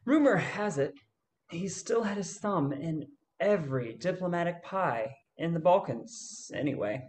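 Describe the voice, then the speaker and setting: A man acts out an easygoing fortune hunter in a radio play. His voice sits a little high for a man, and he spins his tale very slowly, with halting pauses. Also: animated, darkish tone. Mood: amused storytelling. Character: easygoing male gold-hunting adventurer telling a tale.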